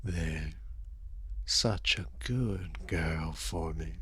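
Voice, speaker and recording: A man's voice: rumbling low, extremely slow, pushed and bright, no room sound, exaggerated voice. A man playing a seductive, dominant and sadistic vampire in an intimate ASMR audio roleplay, coaxing and condescending, often dropping into whispers. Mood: smug, menacing. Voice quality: breathy, husky.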